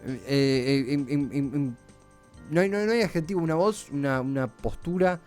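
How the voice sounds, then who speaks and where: A man's voice: on the high side, animated, moderate pace, a little mellow. A man with a Rioplatense Argentine accent hosting a radio show casually and effusively, repeating words for emphasis. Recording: faint music behind the voice.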